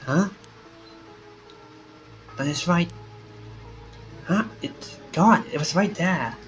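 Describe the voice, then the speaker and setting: A man plays a wary, defiant hero of a visual novel game. He is blunt and emphatic, pitched somewhat high, with music playing behind him. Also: extremely slow. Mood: startled, wary. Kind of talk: visual novel character reading.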